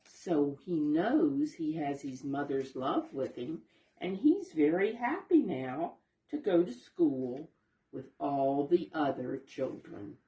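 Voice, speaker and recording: A woman reading a picture book aloud to young children, explaining the pictures simply and gently as she goes. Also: low voice, lively intonation, slow pace, far from the mic. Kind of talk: expressive read-aloud storytelling with asides to young listeners. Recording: roomy echo, voice away from mic.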